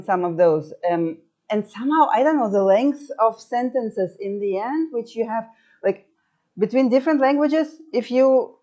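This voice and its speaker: A woman speaking about poetry translation in a panel discussion, halting and repeating words, laughing between points. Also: medium pitch, mellow, dull audio. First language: neither Hindi nor Hebrew. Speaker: guest lecturer and translator.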